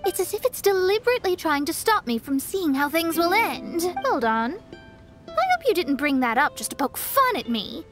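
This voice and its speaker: A woman's voice: very high-pitched, sing-song, normal speed, over music. A woman voicing a proud, touchy young female astrologer in a video game, articulate and self-important. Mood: inquisitive, vexed. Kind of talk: video game character dialogue.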